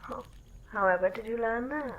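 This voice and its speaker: A woman's voice: fairly high pitch, moderate pace, fairly bright tone, a little hiss or hum, natural voice. A woman playing a genteel, patronising spaceship crew member in a sci-fi comedy audio drama, polite but chiding. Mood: politely chiding.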